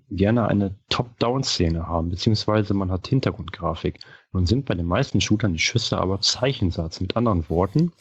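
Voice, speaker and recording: Deep husky man on a dull track. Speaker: podcast co-host. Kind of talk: podcast talk, partly reading out a written answer.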